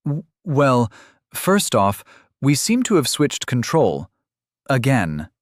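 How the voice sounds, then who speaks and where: Male narrator putting on a stammering young warrior woman, pitched well up, flustered, dry close recording. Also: huge pitch swings, slow, no echo. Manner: startled, stammering.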